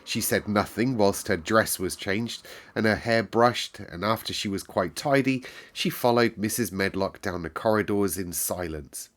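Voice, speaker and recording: A man reading a classic novel aloud in calm, measured narration. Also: on the low side. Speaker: storyteller.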